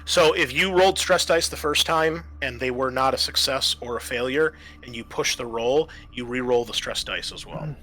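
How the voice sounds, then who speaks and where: A man acting as game master, improvising narration and explaining rules, often pausing mid-sentence as he thinks. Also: mid-range voice, pushed and bright. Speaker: game master and host of a tabletop roleplaying session. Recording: noticeable background room noise.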